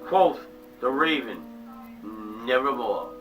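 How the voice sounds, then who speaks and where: A man giving a theatrical, dramatic reading of a poem, dropping to hushed tones and sometimes stumbling and restarting. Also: mid-range voice, sing-song, slow. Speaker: reader of a poem. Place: small home museum room. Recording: distant mic with eerie music behind.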